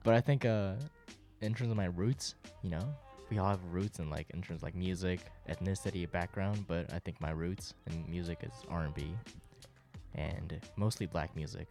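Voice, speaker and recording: A man in his twenties, a Japanese native speaker raised in Japan and born in Korea, talking about his music in a relaxed way with many "you know" fillers. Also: low voice, normal speed. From born in South Korea, raised in Japan. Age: 20s. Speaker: R&B artist and producer. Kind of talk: casual interview talk.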